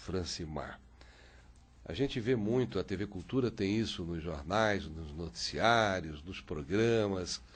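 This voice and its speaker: A man hosting a radio interview, asking long, rambling questions full of fillers. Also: sits low. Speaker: radio host.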